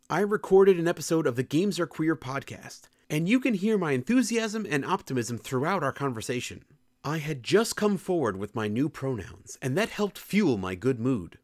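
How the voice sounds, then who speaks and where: Lively reflective man reading his own essay. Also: on the high side, dry recording, studio quality. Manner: reflective, candid and earnest. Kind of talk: scripted personal essay narration.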